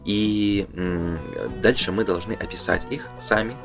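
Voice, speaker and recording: Man, very low voice, casual presenter, hesitant thinking-aloud commentary, clear music underneath. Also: measured pace. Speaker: presenter reading through a game booklet. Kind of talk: casual unscripted explanatory commentary.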